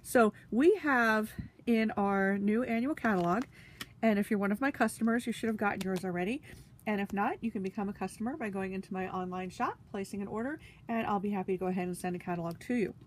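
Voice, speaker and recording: A woman's voice: medium pitch, fast talker, slight background noise. A woman with a stuffy, sniffly nose, chatting casually with lots of fillers while she demonstrates a craft tool. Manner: talks while handling tools. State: congested, sniffly.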